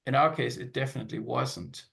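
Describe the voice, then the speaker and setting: A man's voice: medium pitch, brisk, creaky, a bit bright. A man talking as a panel guest, hesitant and full of fillers and restarts. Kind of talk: casual panel chat.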